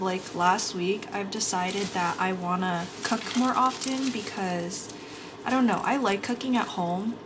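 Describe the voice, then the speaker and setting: A young woman chats her way through making dinner in a small apartment kitchen, naming each ingredient as she goes. Her voice sits at a middling pitch and has an easy vlog tone. The recording picks up a loud bed of kitchen noise around her. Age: young adult. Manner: chatty, narrating each step as she cooks. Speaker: vlogger. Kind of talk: casual cooking vlog narration.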